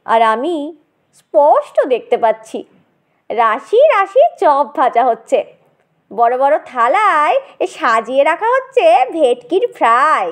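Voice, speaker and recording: A woman narrating a comic audio story in an expressive, theatrical way. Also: high-pitched, slow.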